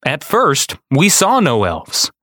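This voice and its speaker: A man, a podcast host, speaking in an expressive storybook narration style. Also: fairly high pitch, slow, no room sound, studio quality. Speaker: podcast host reading a children's book aloud.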